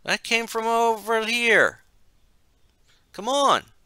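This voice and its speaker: Man voicing a high-pitched, urgent teenage boy. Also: very animated, unhurried, pushed and bright, no room sound, clean studio sound, heavily acted. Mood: urgent shouting. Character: awestruck teenage boy, loud, urgent and curious.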